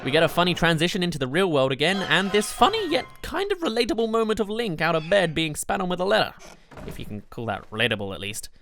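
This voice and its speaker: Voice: mid-range voice, very animated, quick pace, a bit bright. An enthusiastic, chatty reviewer, narrating a scripted video game countdown. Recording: music behind the voice.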